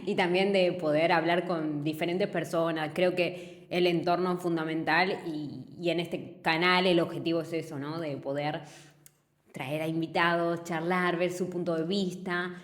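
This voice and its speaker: A woman from Buenos Aires with an Argentine accent, talking earnestly and reflectively in a relaxed interview, often rambling and restarting. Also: lowish voice, measured pace, echoey room. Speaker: fitness business co-founder, guest.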